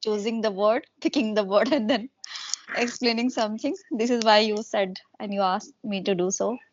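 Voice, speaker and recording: A woman's voice: mid-range voice, steady tone, normal speed, lo-fi sound, slight background noise. A woman hosting a casual English conversation practice, speaking fluently and reflectively with fillers like "you know". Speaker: host, English conversation partner.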